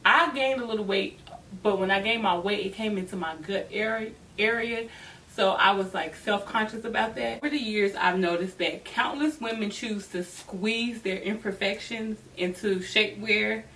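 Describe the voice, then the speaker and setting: A woman's voice: mid-range voice, moderate pace, bright, forceful tone. Casual sassy woman, distant noisy mic. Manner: sassy, emphatic, informal slang. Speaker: host of a fashion advice vlog. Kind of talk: casual chatty advice to camera. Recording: distant mic with noticeable background noise.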